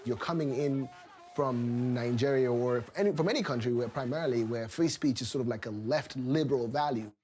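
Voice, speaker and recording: A man's voice: medium pitch, fairly quick, a little mellow, soft background music. A man talking casually in a documentary interview, rambling with uneven pace.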